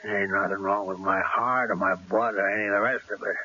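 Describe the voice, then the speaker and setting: Forceful, menacing mob boss. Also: male voice, on the low side, fairly even intonation, a little fast. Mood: indignant. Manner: blunt, streetwise. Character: hot-tempered, menacing male mob boss, stubborn and rough. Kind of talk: radio drama acting. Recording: muffled old radio broadcast with hiss.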